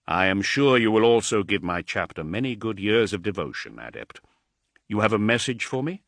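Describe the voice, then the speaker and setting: A man's voice: fairly low pitch, very animated, normal speed, vocal fry, a bit bright, dry recording, clean studio sound, heavily acted. A man voicing an ancient vampiric warrior lord, grave and commanding, in an audiobook.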